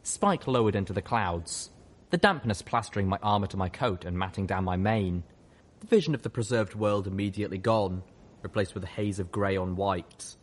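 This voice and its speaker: A man's voice: lowish voice, normal speed. A man reading a dramatic scripted story as an audiobook narrator. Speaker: audiobook reader.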